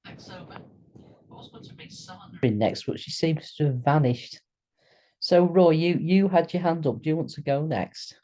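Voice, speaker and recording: A meeting chair running an online call, briskly directing who speaks next. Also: mid-range voice, mellow, low-quality recording. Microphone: video call.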